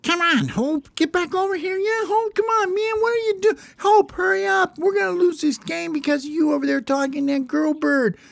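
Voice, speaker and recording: A man voicing a bunch of frustrated male sparrow basketball players, nagging and yelling impatiently at their captain. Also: extremely high pitch, normal speed, vocal fry, hardly any pauses, no room sound, voice pushed up. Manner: impatient, nagging, shouting across the court.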